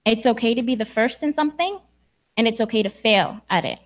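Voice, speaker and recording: A woman's voice: fairly high pitch, fairly quick. A young woman from Sudan giving an earnest, encouraging speech to graduates in a church hall. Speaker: graduation speaker.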